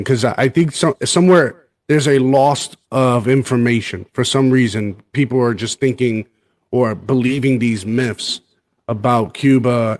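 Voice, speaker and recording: A man's voice: medium pitch, a little mellow. A man hosting a radio interview, giving short affirmations and politely thanking his guest. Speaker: radio host.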